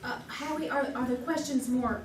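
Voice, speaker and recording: A woman answering as a quiz show contestant, speaking in a hesitant, halting way. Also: sits high. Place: live stage before an audience. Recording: distant, echoey, noisy stage recording.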